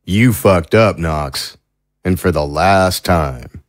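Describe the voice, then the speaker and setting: Man as a deep, cold, smug arresting officer. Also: slow pace, dry recording, studio quality. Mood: contemptuous. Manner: terse, deadpan. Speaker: actor in an audio drama. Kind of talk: dramatic audio fiction acting.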